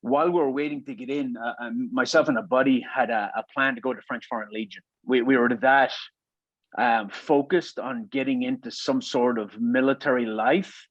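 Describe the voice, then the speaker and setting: A man's voice: mid-range voice, no echo, highs cut off. A man in his fifties from Ireland, with an Irish accent mixed with American, telling his life story in an interview with many restarts and repeated words. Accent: Irish with some American. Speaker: guest.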